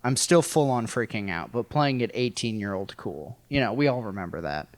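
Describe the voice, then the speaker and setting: A man's voice: lowish voice, lively intonation, normal speed, no echo. An American man from rural Missouri telling a personal story casually as a podcast guest, in a wry, self-deprecating, profane way. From rural Missouri, United States.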